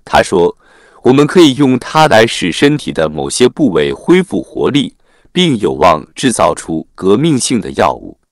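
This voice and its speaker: A man narrating a written article in a formal, measured read-aloud manner. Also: fairly low pitch, big pitch swings, dry recording, clean studio sound.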